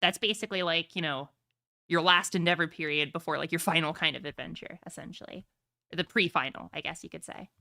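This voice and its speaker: A woman's voice: deep voice, brisk, creaky, sharp tone. A woman game master chatting casually and informally to her players and stream viewers, swearing now and then. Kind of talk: casual chat wrapping up an online tabletop game session.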